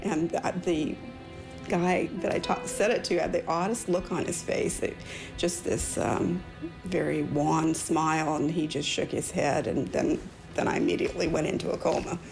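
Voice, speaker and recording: Halting, gravelly middle-aged woman survivor. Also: sits low, steady tone, music in the background, rough recording. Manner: halting, reflective. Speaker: crash survivor, doctor. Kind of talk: interview recollection.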